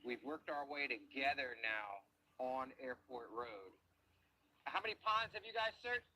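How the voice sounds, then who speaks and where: A man working as an underwater search diver, narrating the search step by step outdoors by ponds and calmly reporting depths and findings. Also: mid-range voice, a little slow, a little hiss or hum, low-quality recording.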